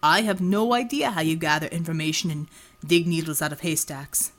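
Woman voicing a calm, measured male field chief. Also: sits low, pitched below the performer's own voice, natural voice. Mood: wry.

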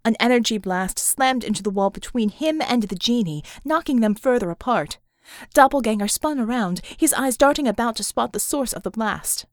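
A woman's voice: a little high, lively intonation, normal speed, no echo, studio quality. A woman narrating action fiction as an audiobook, reading scripted prose dramatically.

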